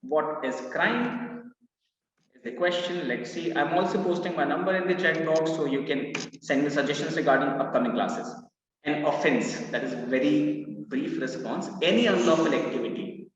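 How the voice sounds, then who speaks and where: A man with an Indian accent teaching a live online law class, with a loud voice, mixing Hindi phrases in. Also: a little high, normal speed, a little hiss or hum. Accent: Indian English. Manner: lively, mixes in Hindi phrases, reads out student answers. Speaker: law entrance mentor. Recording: echoey, roomy, distant, dull live-class audio.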